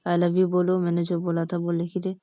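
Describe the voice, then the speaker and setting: A woman's voice: on the low side, completely flat delivery, a little fast, warm tone, no room sound, close to an everyday voice. A woman voicing a playful, reckless young woman driver who teases her friend. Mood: teasing. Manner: playful, coaxing, cocky.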